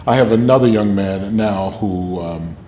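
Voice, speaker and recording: An older man, an actor and drama teacher, telling animated stories from his life in an interview with a booming voice. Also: a little slow. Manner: animated storyteller, laughs often. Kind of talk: interview storytelling. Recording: roomy with faint noise.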